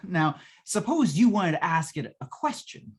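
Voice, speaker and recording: A woman's voice: lowish voice, very animated, a little slow, slightly rough, full character voice. A woman playing a folksy, long-suffering salesman in a 1950s-style radio drama, giving colloquial, patient sales patter. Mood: eager, explanatory.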